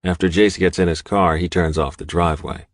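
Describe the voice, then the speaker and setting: A man narrates first-person fiction from a script. His voice is very deep. The sound is dry and close, free of any room. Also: steady tone, fairly quick, dry recording. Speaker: audiobook narrator.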